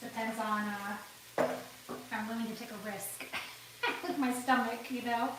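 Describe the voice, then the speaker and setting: A woman's voice: on the high side, steady tone, measured pace. A woman hosting a casual cooking livestream from her home kitchen, chatting, laughing often, and sniffling from a runny nose. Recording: echoey kitchen, far from mic, background noise.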